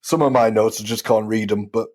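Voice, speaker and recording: A man's voice: lowish voice, steady tone, fairly quick, darkish tone. A man hosting a podcast, chatting casually and informally with his guest. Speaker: podcast host. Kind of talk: casual interview chat.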